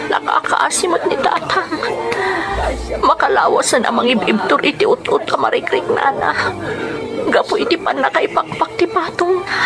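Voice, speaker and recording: Woman acting an earnest, high-voiced dutiful daughter. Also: animated, normal speed, vocal fry, loud music behind the voice. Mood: troubled, resolute. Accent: Filipino. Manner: earnest, emotional. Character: worried grown daughter and mother, earnest, determined, dutiful. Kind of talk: radio drama acting.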